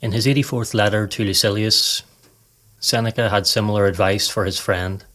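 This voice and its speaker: A man narrating a scripted guided meditation in a calm, measured, instructive way. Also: lowish voice. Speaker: podcast host and meditation guide.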